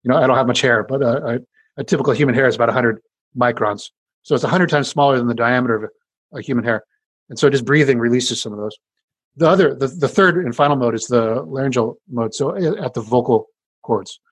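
A man with a deep voice, a scientist explaining his research in an interview in a casual way, with many fillers and restarts. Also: fast, breathy edge, no room sound.

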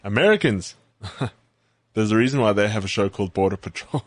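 A man's voice: lowish voice, expressive, moderate pace. A man with a South African accent, co-hosting a podcast in casual chat and telling stories with a laugh. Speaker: podcast co-host.

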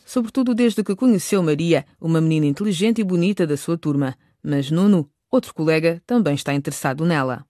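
A man's voice: on the high side, a little fast, few pauses, a little mellow, no room sound. Man narrating a radio drama, dry sound.

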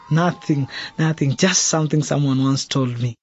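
An actor playing an eager, cocky teenage footballer in a radio drama. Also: male voice, medium pitch, unhurried, husky, lo-fi sound. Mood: eager, confident.

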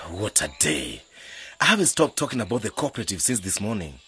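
A man's voice: fairly low pitch, huge pitch swings, a little fast, bright, forceful tone, soft background music, full character voice. An actor playing a weary, philosophical village man in a radio drama.

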